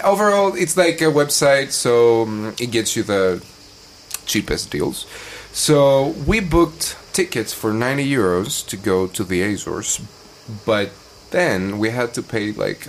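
Young male podcast guest, lively swooping intonation, informal and joking, faint background noise. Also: mid-range voice. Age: young adult. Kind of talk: casual chat, telling travel stories.

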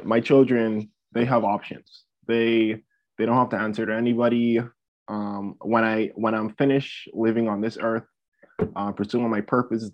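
A man in his twenties from the Bahamas talking casually as a podcast guest, hesitant and repeating phrases as he thinks. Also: mid-range voice. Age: 20s. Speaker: podcast guest, student athlete and entrepreneur. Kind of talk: casual interview talk. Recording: dull lo-fi audio.

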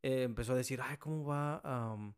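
A man from Mexico hosting a casual chat, relaxed and slangy, mixing in English words. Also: medium pitch, fairly quick, clear, resonant tone. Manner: relaxed and slangy, says "güey" a lot, mixes in English. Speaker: podcast host.

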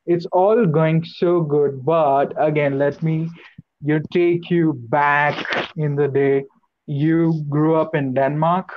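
Slow-talking gushing show host. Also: mid-range voice, steady tone, highs cut off. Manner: effusive, gushing praise, many fillers. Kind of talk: live show hosting.

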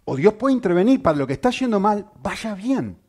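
A man preaching a sermon, asking the congregation rhetorical questions and speaking with lively emphasis. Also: high voice, fairly quick.

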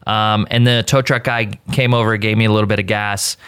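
A man with an American accent, a show co-host, telling a casual, self-deprecating anecdote in a slightly rough voice. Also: on the low side, a little fast, no room sound, studio quality. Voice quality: slightly rough voice from screaming the night before. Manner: self-deprecating, animated retelling with acted-out quotes.

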